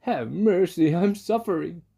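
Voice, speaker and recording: Man doing a whiny, pleading storybook fox, pitched up. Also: lively intonation, unhurried, gravelly, warm tone, husky, full character voice. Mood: wailing, pleading. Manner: whimpering, tearful, pleading. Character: wailing, pleading male fox patient, sly. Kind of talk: storybook read-aloud character.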